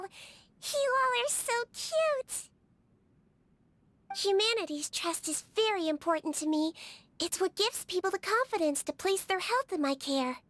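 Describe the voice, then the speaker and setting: A woman voicing a small, cheerful, caring melusine nurse girl in a video game, with a sweet, polite and gently quirky manner. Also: very high voice, no room sound, heavily acted. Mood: warm, earnest. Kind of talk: animated video game character voice acting.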